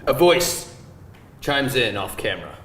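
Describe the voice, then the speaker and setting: A man's voice: medium pitch, big pitch swings, unhurried, fairly bright tone. A man reading screenplay stage directions aloud as narrator at a live table read. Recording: roomy live event, distant mic, faint noise.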